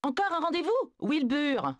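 A confident female hippo, sassy, high voice. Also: big pitch swings, normal speed. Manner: sassy, dismissive. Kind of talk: video game dubbing.

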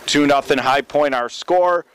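A man's voice: fairly high pitch, a little slow, fairly bright tone. A man calling a baseball game live as a radio play-by-play commentator. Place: open-air baseball stadium. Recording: clear outdoor ballpark ambient noise.

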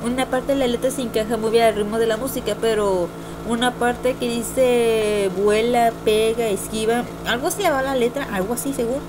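A young woman speaks in a fairly high voice over clearly audible noise. She gives her opinions casually, like a homemade vlog, wandering and hesitating as she goes and often circling back to repeat a phrase. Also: steady tone, moderate pace. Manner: rambling, repetitive, hesitant. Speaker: amateur video reviewer. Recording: noisy amateur home computer recording.

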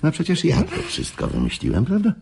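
An actor playing a scheming, easily flustered chess coach in a radio drama, stammering and repeating himself. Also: male voice, fairly high pitch, wildly swooping pitch, brisk, gravelly, warm tone, a little hiss or hum, full character voice. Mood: indignant, muttering. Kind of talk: Polish radio drama acting.

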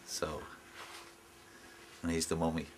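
A man's voice: very deep voice, monotone, slow pace, slight background noise. An Irish man chatting casually behind the scenes, asking the director questions. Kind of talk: casual chat.

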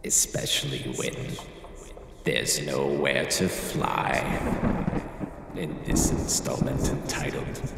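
An actor playing a sinister, theatrical host of horror tales, speaking with slow, ominous portent in a gravelly voice. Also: male voice, full character voice. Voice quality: very deep, gravelly. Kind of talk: theatrical scripted introduction. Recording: cavernous reverb with clear noise.

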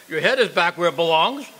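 An actor playing a flustered, indignant executioner with a stammering manner in a radio play. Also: male voice, sits high, animated, moderate pace, pushed and bright, breathy edge, heavily acted. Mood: curious, softening. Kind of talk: stage radio drama acting.

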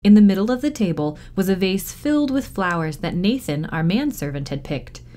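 A woman reading a children's novel aloud in expressive storytime narration. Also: medium pitch, a little fast. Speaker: library storytime reader.

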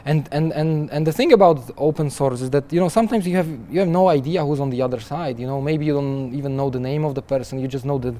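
Young male developer guest, warm mellow voice, easygoing interview talk that trips over itself. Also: a little high, fast, hardly any pauses. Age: young adult. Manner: chatty, restarts often with repeated words, laughs lightly. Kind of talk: conversational interview answers. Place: conference interview booth, seated in armchair.

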